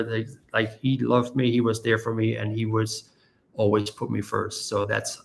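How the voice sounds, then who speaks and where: A man's voice: medium pitch, gravelly. A German-born man in his forties with a light German accent, chatting earnestly as a podcast guest. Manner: earnest, rambling, quick asides. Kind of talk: casual interview chat.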